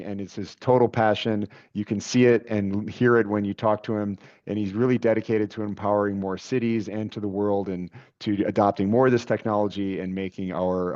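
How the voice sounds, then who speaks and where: A man in his forties hosting a webcast interview, chatty and friendly, with fillers and quick interjections. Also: on the low side, a little mellow. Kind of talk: casual conversational interview. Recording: dull lo-fi audio.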